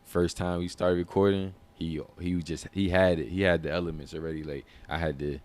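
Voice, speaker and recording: A man's voice: little pitch movement, brisk, a little mellow. A man, answering interview questions about his music in a laid-back, slangy way. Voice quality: deep voice. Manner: laid-back, slangy, loose phrasing with many fillers. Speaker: rapper and musician.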